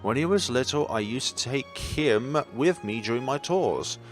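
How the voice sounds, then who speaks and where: A man voicing a gentle, proud mother who reminisces wistfully about her son in a visual novel. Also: mid-range voice, animated, a little slow, soft background music, natural voice. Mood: nostalgic.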